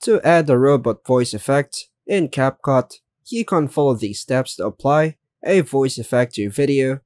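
A man giving scripted how-to narration as a tutorial host, in a measured, step-by-step way. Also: mid-range voice, animated, darkish tone, no room sound.

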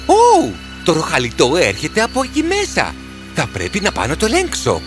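High swoopy little boy, cheerful n curious, cartoon with music. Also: wildly swooping pitch. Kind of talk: animated fairy tale dialogue.

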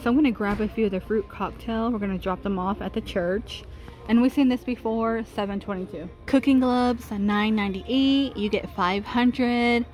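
A woman's voice: a little high, normal speed, a little mellow, soft background music, low-quality recording. Chatty woman reading prices in a store. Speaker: shopping vlogger. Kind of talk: casual shop-with-me narration. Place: large warehouse store, indoors. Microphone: handheld phone camera, first-person view.

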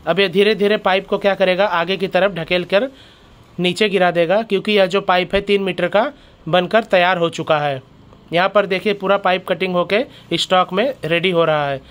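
Host, male, high and smooth voice, explaining a factory tour step by step. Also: a bit bright. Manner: explains step by step, keeps addressing viewers as friends. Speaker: channel host. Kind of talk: factory tour vlog narration.

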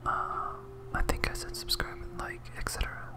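Someone whispering softly and breathily in hesitant, rambling, self-deprecating ASMR commentary while playing a game. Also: normal speed. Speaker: host, game streamer.

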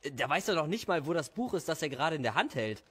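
A man's voice: fairly high pitch, very animated, quick pace, fairly bright tone, breathy edge, full character voice. A man playing an easygoing village father, casual and slightly defensive, in an audio drama.

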